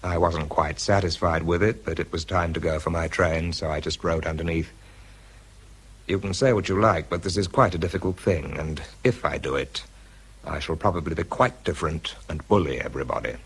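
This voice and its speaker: A wry wartime bomb expert tells his own story in a radio drama. The voice is very low and husky, understated and a little hesitant, with anxiety held underneath. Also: normal speed. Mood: reflective, subdued. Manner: understated, hesitant when challenged. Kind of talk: radio drama narration and dialogue.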